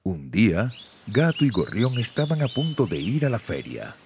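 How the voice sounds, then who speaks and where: A man's voice: fairly low pitch, huge pitch swings, moderate pace, heavy background noise. A man playing the storybook narrator of a cartoon folk tale, telling it expressively for children. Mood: storytelling.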